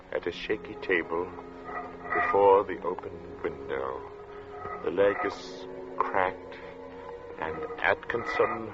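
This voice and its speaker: An actor playing a brooding, oppressed man narrating his journal in a 1940s radio drama, halting and ominous. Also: sits low. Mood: grim, halting. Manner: slow, ominous, halting delivery with dramatic pauses. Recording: dull vintage radio recording with loud music.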